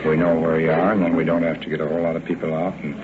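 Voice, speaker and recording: Deep american cop explaining stuff to a kid, tinny 50s tape, loud noise. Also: male voice, little pitch movement, rapid-fire. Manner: instructive, addressing a child. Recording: noisy, phone-like 1950s field recording.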